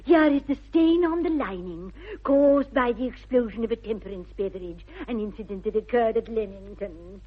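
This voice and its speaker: A woman's voice: on the high side, moderate pace, few pauses, close to an everyday voice. A middle-aged woman with an English accent playing a prim, fussy spinster governess in a radio comedy, speaking with precise deliberation. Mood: fussy, pleased. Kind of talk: classic British radio comedy acting. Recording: dull old radio recording.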